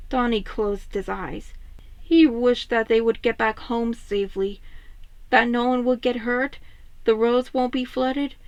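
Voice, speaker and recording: Woman, high-pitched, expressive fan fiction narrator, scripted storytelling, dry sound. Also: no room sound, highs cut off.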